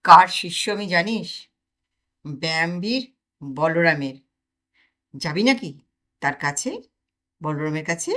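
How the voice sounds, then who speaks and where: A woman's voice: low-pitched, expressive, measured pace, pauses often, fairly bright tone, pitched below the performer's own voice. A woman voicing a boastful young man who loves exercise and lectures his friend. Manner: lecturing, showing off.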